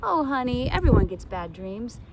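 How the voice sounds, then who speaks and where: A woman voicing a gentle, worried mother of young girls in a storybook. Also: fairly high pitch, big pitch swings, moderate pace, heavy background noise, voice pushed up. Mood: soothing.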